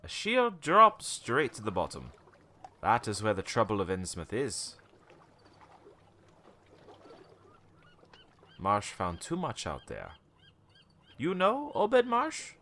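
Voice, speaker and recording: A cynical old townsman in a radio drama, cryptic and knowing. His pitch swings wildly as he spins his tale slowly, over a faint background noise. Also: wildly swooping pitch, pushed and bright, exaggerated voice. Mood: ominous. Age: older. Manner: cryptic, theatrical, rambling storytelling with knowing chuckles. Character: wary, cynical old male townsman, former shopkeeper, storyteller. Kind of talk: radio play acting.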